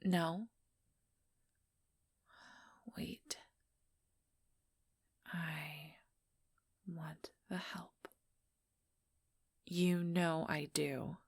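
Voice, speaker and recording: Woman playing a curt, gravelly, hostile vampire. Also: deep voice, little pitch movement, very slow, long pauses, no echo, clean studio sound, heavily acted. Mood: grudging. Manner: curt, seething, profane. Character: hostile, irritable vampire rival, curt and sullen. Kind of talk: ASMR audio roleplay.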